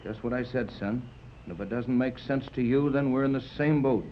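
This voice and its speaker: An actor playing Tex, a steady, commanding ship's officer, in a 1930s radio drama. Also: male voice, medium pitch, brisk, close to an everyday voice. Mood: uneasy. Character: steady, grave male seaman, middle-aged. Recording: muffled old radio transcription with hiss.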